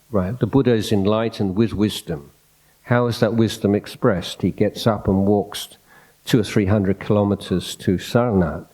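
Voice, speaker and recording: A soft-spoken man, a Buddhist monk, giving an unscripted dhamma talk in a hall, reflective and hesitant, restarting his phrases often. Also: on the low side. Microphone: microphone in a hall.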